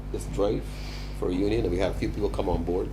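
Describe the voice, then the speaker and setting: A man's voice: low voice, steady tone, fast, noisy background. A man, a hotel entertainer, talking earnestly in casual conversation. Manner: earnest, repeats "you know".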